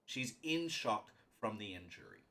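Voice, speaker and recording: Slow-talking man as game master. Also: on the low side, wildly swooping pitch, creaky, bright, forceful tone. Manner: emphatic, checks in often with players. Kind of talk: narrating a live tabletop roleplaying game.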